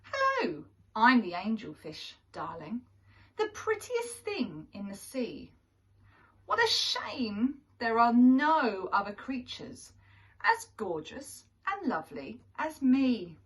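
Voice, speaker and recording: A woman's voice: on the high side, huge pitch swings, takes it slowly, heavily acted. A woman voicing a vain, preening female angelfish from a storybook, in an affected, self-admiring way. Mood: vain, gushing. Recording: distant microphone.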